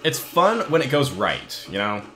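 Man, let's play host, crude joking, swearing, animated pitch. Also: normal speed, faint music behind. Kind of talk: casual gameplay commentary. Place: hot indoor room.

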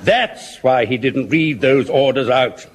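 An actor playing a brash, boastful captain in a radio sitcom. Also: male voice, mid-range voice, takes it slowly, close to an everyday voice. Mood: brusque. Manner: brusque, boastful. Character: brash, boastful male captain, a veteran of an earlier war. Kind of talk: 1970s radio sitcom acting.

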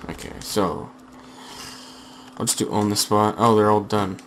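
A slightly hoarse young man with a lowish voice rambles over a game, full of "like" and half-finished restarts. He talks through a headset at home, with faint hiss and game ambience behind him. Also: flat delivery, measured pace, a bit bright. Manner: rambling, filler-heavy chatter with frequent restarts. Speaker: gaming channel host. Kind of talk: casual gameplay commentary.

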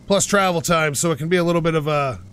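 A man talking over his own driving game playthrough with a slightly raised pitch. He pushes his voice out so it carries, which makes it sound a bit aggressive. He rambles casually and jokes at his own expense. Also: fast talker, clear, resonant tone. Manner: pushes his voice out loud and energetic, rambling and self-mocking. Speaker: gaming channel host. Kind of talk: casual let's-play commentary. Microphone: home microphone while playing on a couch.

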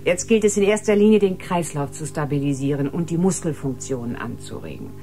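An actress playing a professional, slightly condescending ship doctor, clinical and instructive in manner. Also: female voice, sits low, animated, brisk, mellow. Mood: defensive, lecturing. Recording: music playing behind the voice.

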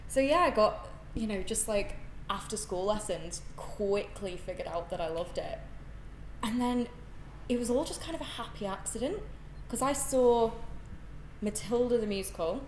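A British woman in her twenties from northern England telling anecdotes in casual, animated chat as a guest. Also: medium pitch, moderate pace, reverberant, a little hiss or hum, low-quality recording. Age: 20s. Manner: animated, giggly, acts out quoted remarks. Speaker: podcast guest, actor. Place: bright loft-style room indoors, on a sofa. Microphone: handheld microphone.

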